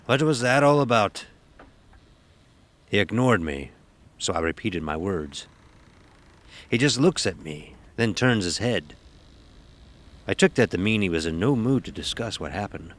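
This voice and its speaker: A man playing a stern, gruff ship's officer who tells a sea tale in commanding, archaic nautical speech for an audio horror drama. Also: on the low side, lively intonation, unhurried, long pauses. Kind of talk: first-person dramatic storytelling, audio horror.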